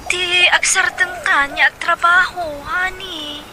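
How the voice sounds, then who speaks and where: A woman's voice: very high voice, steady tone, measured pace, noisy background. A woman with an Ilocano accent playing a seductive, possessive mistress in a radio drama, coaxing and cooing. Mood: coaxing, flirtatious.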